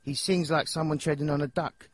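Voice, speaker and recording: An actor with an English accent voicing a nervous, dim, childlike young singer in a video game, hesitant and rambling in slangy speech. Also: male voice, a little high, steady tone, fairly quick, natural voice. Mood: aggrieved. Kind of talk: video game character dialogue.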